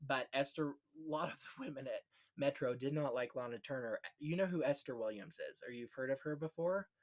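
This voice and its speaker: A man's voice: mid-range voice, brisk. A man hosting a casual film history chat, telling anecdotes in a gossipy, conversational way. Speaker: podcast host.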